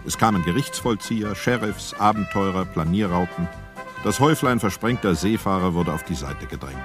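Deep-voiced man narrating over music. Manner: measured storytelling with dry irony. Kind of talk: scripted radio play narration.

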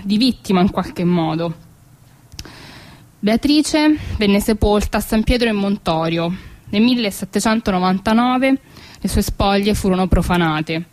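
Female storyteller, mid-range pitch, measured phrasing, pauses, oral historical narration. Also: darkish tone.

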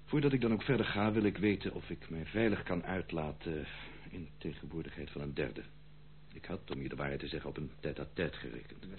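Disgraced prodigal son in radio drama: low voice, formal, pleading, indignant, tinny hummy recording. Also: male voice, brisk. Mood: guarded, reproachful. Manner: theatrical, formal, insistent. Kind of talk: radio play acting. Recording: tinny, phone-like old radio play, slight hum.

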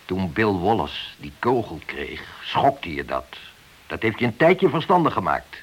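A man acting in a radio play as a stern, accusing senior police inspector who speaks deliberately. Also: low voice, very animated, slight background noise.

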